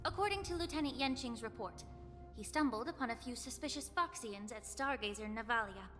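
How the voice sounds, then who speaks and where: A polite, poised game healer, fairly high in pitch. Her words are measured, with music in the background. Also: expressive, a bit bright. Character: poised, polite female healer official of fantasy court. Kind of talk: video game voice acting.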